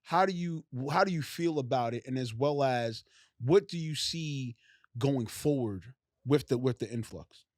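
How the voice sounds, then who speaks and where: A man's voice: medium pitch, expressive, measured pace, darkish tone, no room sound, clean studio sound. A man hosting a podcast, asking long, rambling questions in loose slang with lots of fillers. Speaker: podcast host. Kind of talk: casual interview chat.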